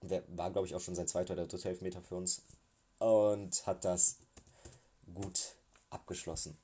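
A man with a cold giving a casual, hesitant recap of a football match as a fan, often pausing and thinking aloud. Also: fairly low pitch, mellow. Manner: hesitant, many filler sounds, thinking aloud. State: has a cold.

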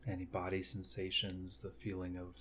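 A man leading a guided meditation, speaking gently and unhurriedly in short phrases with long pauses. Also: sits low, completely flat delivery, slight background noise.

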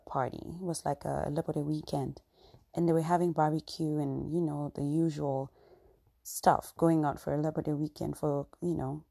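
A young woman from Cameroon hosts her podcast in a Cameroonian accent, speaking low and mellow. Her voice is husky and slightly breathy. She tells a personal story earnestly and reflectively, with plenty of fillers. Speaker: podcast host.

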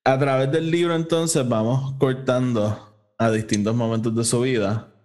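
A man's voice: medium pitch, fairly even intonation, normal speed, darkish tone. A man with a Puerto Rican accent co-hosting a podcast in casual, informal talk, swearing and slipping between Spanish and English. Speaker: podcast co-host. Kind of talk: casual conversational review.

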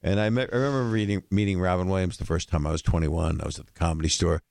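An older man with a low, gravelly voice, chatting as a comedian guest on a podcast, rambling and dropping into jokey voices. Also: fairly even intonation, fast, a little mellow, dry recording, clean studio sound. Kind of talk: casual podcast chat.

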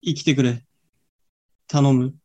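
A man's voice: mid-range voice, steady tone, slow, darkish tone, natural voice. A man playing a hesitant, loyal young friend in a student radio drama. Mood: anguished, hesitant.

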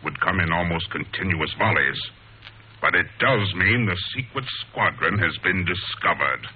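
Old-time radio villain: low-pitched, gloating, theatrical, on a tinny hissy broadcast. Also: male voice, animated, normal speed, slightly rough. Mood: scornful, scheming. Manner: theatrical, scornful, grandiose. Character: gloating arch-criminal mastermind, scornful and grandiose. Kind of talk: 1940s radio serial acting.

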